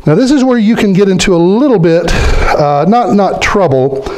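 A middle-aged man preaching a sermon as pastor, animated and questioning his congregation, dropping low when he quotes scripture figures. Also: moderate pace. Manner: animated, gestures a lot, asks the congregation questions. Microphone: stand mic in front of speaker.

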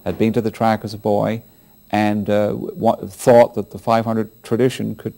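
A middle-aged man speaking unscripted in an indoor interview, with halting, conversational phrasing. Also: fairly low pitch, flat delivery, vocal fry, warm tone, soft background music. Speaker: interviewee commenting on racing history.

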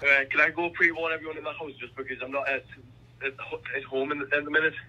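A man's voice: a little high, flat delivery, quick pace. A young man talking hesitantly and agreeably in a phone conversation, over a tinny telephone line. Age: young adult. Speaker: army applicant, prank call target.

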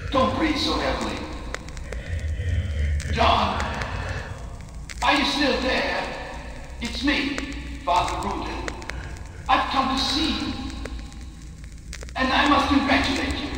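A distant, noisy, echo-drenched horror recording of a man acting as a fanatical priest. He speaks slowly and haltingly, with solemn reassurance, as if tending to a disciple. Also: high voice, pushed and bright, exaggerated voice. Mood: reverent. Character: fanatical cult priest soothing a transformed disciple. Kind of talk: analog horror monologue. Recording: distant, noisy, cavernous echoing hall.

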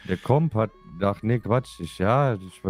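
A man with a low voice chats in character in an online game. His delivery is halting and a little muddled, and the sound is dry and close. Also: darkish tone, dry recording, soft background music. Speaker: roleplay game player. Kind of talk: casual in-character chat.

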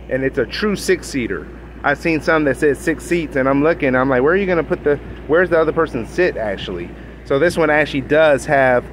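Guy filming his hot tub outside on his phone, lots of uh's, mid-pitched voice, bit of water noise. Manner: rambling, many fillers and self-corrections. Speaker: hot tub owner reviewing his own tub. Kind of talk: casual handheld product walkthrough. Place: outdoors in a backyard by a hot tub. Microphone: phone camera held by the speaker.

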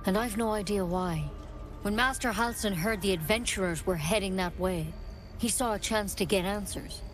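A woman playing an earnest, knowledgeable druid in a video game, speaking in a frank, measured, explanatory way. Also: medium pitch, faint music behind, natural voice. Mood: earnest, urgent. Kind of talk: video game dialogue acting.

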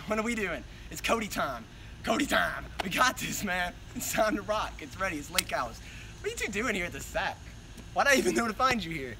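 A man's voice: high voice, background noise. A man playing a cocky, profane young slacker, swaggering and exasperated with his friends in an amateur comedy skit. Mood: cocky, upbeat. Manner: brash, swaggering, repeats himself.

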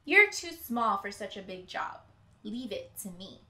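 Woman voicing a bright, self-important storybook horse. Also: medium pitch, very animated, full character voice. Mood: dismissive, whinnying. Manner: drawn-out whinnying, dismissive. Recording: roomy indoor echo.

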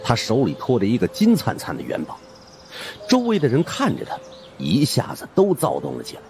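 A man's voice: medium pitch, wildly swooping pitch, a little fast, over music. A man narrating a story as a dramatic scripted audiobook in the first person. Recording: faint background noise.

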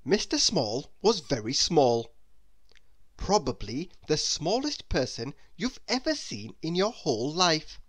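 A man reading a children's story aloud as narrator, in an expressive, deliberate way. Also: a little high. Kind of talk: scripted narration for young children.

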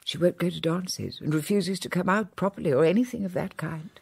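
A woman's voice: lowish voice, a little fast, gravelly, breathy edge, full character voice. A woman playing a genteel elderly grandmother who chats in long, well-bred lists, in a radio play. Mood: worried. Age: older. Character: genteel elderly English grandmother, chatty and worried. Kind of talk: radio drama acting.

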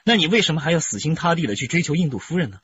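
Sardonic male detective, slightly high voice, probing, radio drama, dull sound. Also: big pitch swings, very fast, a bit bright. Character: wry, probing male police interrogator. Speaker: actor in police radio drama. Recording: muffled, faint background noise.

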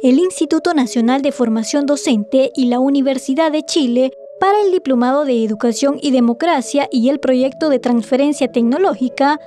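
High-voiced female news reporter. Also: normal speed, hardly any pauses. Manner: formal, even newsreading. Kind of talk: scripted news voice-over.

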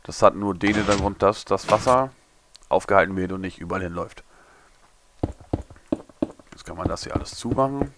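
A young man, a little hungover and hoarse, mumbling and thinking aloud as he builds in a game tutorial. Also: deep voice, moderate pace, pauses often, background noise. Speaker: gaming tutorial creator. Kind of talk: casual commentary while building.

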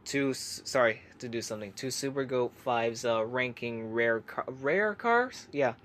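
Young man reading comments, hushed. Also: mid-range voice, lively intonation, a little slow, a little hiss or hum. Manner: chatty, hesitant, many fillers and restarts; kept quiet so family can sleep. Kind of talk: casual comment reaction. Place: home laundry room.

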